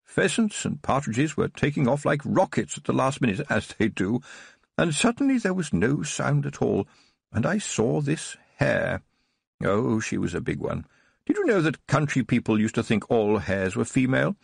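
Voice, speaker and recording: A man's voice: mid-range voice, big pitch swings, unhurried, gravelly, husky, dry recording, clean studio sound, full character voice. A man doing a frail old baron, tearful and wistful. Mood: wistful, joyful. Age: older. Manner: trembling, wistful, rambling recollection. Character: frail elderly male aristocrat, tearful and nostalgic.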